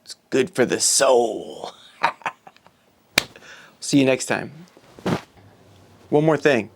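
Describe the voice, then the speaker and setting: A man's voice: mid-range voice, extremely slow, creaky, slight background noise. A man in his forties talking casually and reflectively as a video creator, sharing personal thoughts. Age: 40s. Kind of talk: casual talk to viewers and reflective personal narration.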